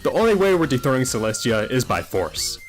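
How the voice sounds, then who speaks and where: A man's voice: mid-range voice, sing-song, a little fast, lightly acted. A man voicing a ruthless, scheming animal rebel in a cartoon parody, blunt and conspiratorial, with music behind him. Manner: blunt, conspiratorial, foul-mouthed.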